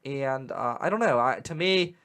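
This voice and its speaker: A young man with a Western Pennsylvania accent hosting a casual radio talk show, animated and opinionated, often repeating words as he gets going. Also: medium pitch, normal speed, clear, resonant tone. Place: radio studio. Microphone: studio microphone.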